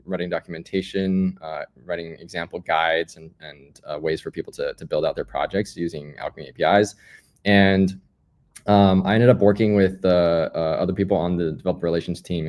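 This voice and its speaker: A man's voice: low-pitched, a little mellow. A man speaking as an interview guest, telling his story in a casual, explanatory, conversational way. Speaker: guest, startup founder.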